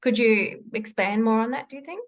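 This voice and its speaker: A woman's voice: a little high, a little fast, dull audio. A woman hosting a podcast interview, encouraging her guest and asking follow-up questions. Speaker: podcast host. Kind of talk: casual interview.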